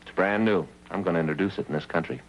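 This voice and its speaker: Actor as a deep-voiced, folksy American detective sidekick. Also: male voice, fast talker, lightly acted, rough recording. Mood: earnest, eager. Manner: folksy, earnest, conversational. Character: chatty, earnest, food-loving police detective sidekick, male. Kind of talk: 1950s police drama acting, light comic relief. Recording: 1950s TV soundtrack with faint hum.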